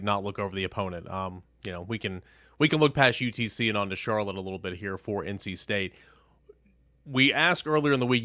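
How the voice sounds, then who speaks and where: A man's voice: lowish voice, pushed and bright, no echo, studio quality. A man hosting a sports podcast, talking conversationally as he introduces segments and puts questions to a guest. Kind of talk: conversational show intro and interviewing.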